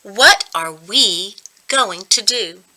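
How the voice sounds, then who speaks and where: A woman reading a storybook as an accommodating art teacher who explains things to children in a measured way. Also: medium pitch, animated, sharp tone, full character voice. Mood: pondering.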